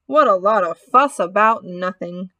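A woman's voice: medium pitch, unhurried, bright, forceful tone, dry recording. A grumpy barn rat voiced by a woman reading a storybook, muttering with open scorn. The recording is close and dry. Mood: scornful.

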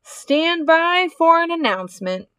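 A woman voicing a pompous fairground loudspeaker announcer from a storybook, booming and ceremonious in delivery. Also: sing-song, measured pace, no echo, full character voice. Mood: commanding.

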